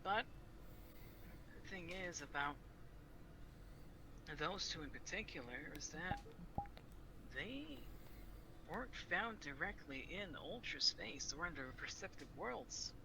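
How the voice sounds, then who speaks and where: A man's voice: high voice, very animated, slow, vocal fry, long pauses. A man chatting casually in character during an improvised online role-play game. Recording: noisy online game voice chat.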